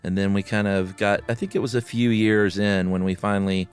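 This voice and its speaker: A man's voice: lowish voice, fairly even intonation, a little fast, clean tone, faint music behind. A man hosting a podcast, moving between casual chat and dramatic reading of a spooky story. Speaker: podcast host.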